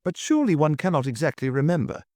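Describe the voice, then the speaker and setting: A man voicing a bewildered, indignant gentleman chairman in a storybook, pompous and hesitant. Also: on the high side, very animated, fast talker, no echo, studio quality, voice pushed up.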